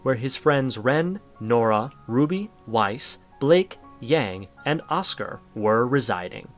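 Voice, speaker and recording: A man's voice: medium pitch, expressive, slow pace, faint music behind. A man narrating a scripted audio drama in storybook fashion.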